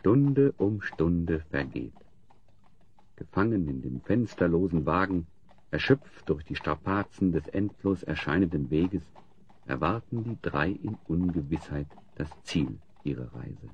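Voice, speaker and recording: A man's voice: sits low, expressive, a little slow, slightly rough, dull audio, heavily acted. A man narrating a fantasy audio drama as a solemn, scripted storyteller. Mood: grave.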